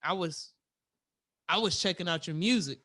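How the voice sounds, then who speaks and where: A man's voice: high voice, normal speed, pushed and bright, no echo, studio quality. A man hosting a casual podcast interview, talking hesitantly with many restarts and filler words. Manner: hesitant, restarts often with many "um"s and "you know"s. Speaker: podcast host.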